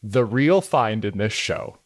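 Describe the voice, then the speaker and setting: A man's voice: on the low side, lively intonation, takes it slowly, dry recording. A man co-hosting a podcast, moving between casual chat and reading out reviews. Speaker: podcast co-host.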